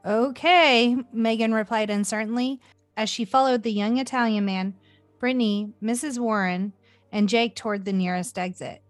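A woman's voice: mid-range voice, fairly even intonation, moderate pace, dry recording, soft background music. A woman narrating a story expressively, as an amateur reader. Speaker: podcast host reading a story aloud.

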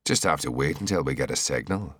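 A man's voice: extremely low pitch, lively intonation, brisk, fairly bright tone, no room sound, clean studio sound, full character voice. A man voicing a cruel, confident gunman enforcer in an audiobook thriller, taunting and commanding without hurry. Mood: cold command. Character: menacing, gloating gunman enforcer, cruel and sure.

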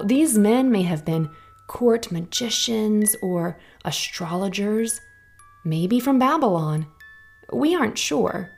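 A woman reads a children's Bible story at a slow, unhurried pace, gentle and clear. Her voice sits in an ordinary middle pitch, and faint music plays under her. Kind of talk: scripted narration for children.

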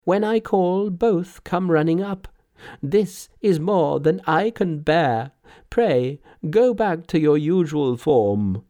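Man doing an animated, pleading old village father. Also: fairly high pitch, takes it slowly, voice pushed up. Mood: astonished. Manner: pleading, deferential, emphatic. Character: earnest, humble old village father, emotional. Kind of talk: storybook character voice.

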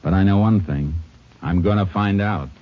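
A man playing a very deep, steady Texas Ranger. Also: faint music behind, natural voice. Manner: steady, measured and confident. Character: calm, methodical male Texas Ranger lawman. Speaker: lead detective. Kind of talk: 1950s radio drama acting. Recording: dull lo-fi vintage radio recording.

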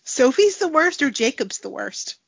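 A woman's voice: sits high, big pitch swings, moderate pace, gravelly, dry recording. A woman co-hosting a podcast in casual chat, sounding nasal from a cold and eager to argue. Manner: chatty, argumentative. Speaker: podcast co-host. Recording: dry, dull lo-fi sound.

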